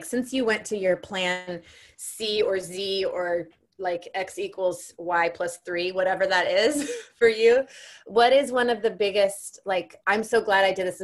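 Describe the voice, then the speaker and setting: A woman who works as a coach, hosting an interview in a relaxed, rambling way and filling her talk with "like" and "you know". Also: fairly low pitch. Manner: relaxed, rambling, heavy use of fillers. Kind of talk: casual conversational interview.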